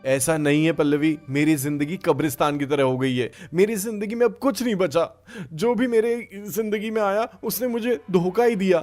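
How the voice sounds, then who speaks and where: A man voicing a heartbroken, bitter young man with melodramatic emotion in an animated story. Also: high voice, normal speed, few pauses, voice pushed up, close to an everyday voice. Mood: despairing. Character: heartbroken young man, bitter and self-pitying about love. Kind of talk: animated moral story dialogue.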